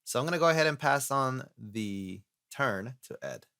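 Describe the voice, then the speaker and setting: A man's voice: medium pitch, expressive, normal speed, vocal fry, sharp tone, no echo, clean studio sound. A man hosting a casual podcast read-through who lays out the plan step by step. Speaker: host and editor.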